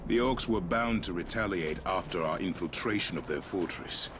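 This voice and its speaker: An actor playing a stoic, dry warrior hero in a game, terse and measured. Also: male voice, sits low, background noise, lightly acted. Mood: stern. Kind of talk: video game cutscene acting.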